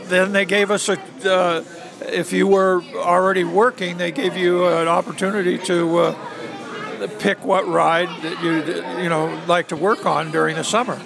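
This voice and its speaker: A man in his seventies from New England, casually recalling his time as a ride guide, halting with many fillers. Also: high voice, little pitch movement, creaky, a crowd in the background. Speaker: interviewee, former theme park ride guide. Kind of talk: casual reminiscing interview.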